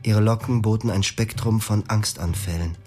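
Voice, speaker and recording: A man's voice: on the low side, normal speed, close to an everyday voice. A man playing a brooding young husband narrating. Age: 20s. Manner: calm, reflective, poetic narration. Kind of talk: radio drama narration. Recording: radio play with music underneath.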